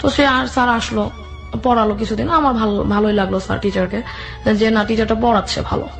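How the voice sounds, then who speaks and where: A woman's voice: fairly high pitch, steady tone, fast talker, gravelly. A woman guest telling a personal story in run-on narration, quoting both sides of each conversation. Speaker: interview guest. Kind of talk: personal storytelling with re-enacted dialogue. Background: background music.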